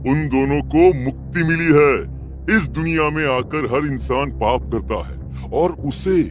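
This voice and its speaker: A man playing a crazed, possessed village farmer, brooding, preachy and threatening, in an animated horror story. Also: on the high side, takes it slowly, soft background music. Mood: ominous.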